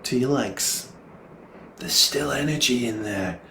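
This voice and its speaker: A man with a northern English accent voicing a gentle, reassuring father in a storybook reading, tender and encouraging. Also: medium pitch, slow pace, heavily acted. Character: gentle, reassuring dad comforting his son. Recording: roomy echo, clear background noise.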